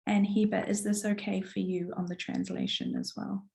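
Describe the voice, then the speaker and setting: A woman's voice: mid-range voice, wildly swooping pitch, normal speed, gravelly, dark tone. A woman coach chatting casually and encouragingly to followers on a live stream, her voice strained from having partly lost it. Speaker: coach hosting a live online challenge. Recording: roomy, echoing live stream.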